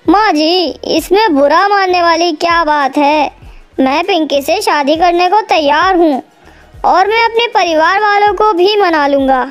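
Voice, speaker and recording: A woman's voice: very high voice, unhurried, faint music behind. A woman voicing a brave, polite young male student bird in a cartoon, firm and earnest. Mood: earnest, willing.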